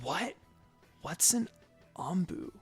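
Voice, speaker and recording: A man voicing a spirited, cheeky teenage girl ninja in a storybook reading, bright and teasing. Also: high-pitched, very animated, slow pace, breathy edge, soft background music, pitched above the performer's own voice, exaggerated voice. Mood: eager, surprised.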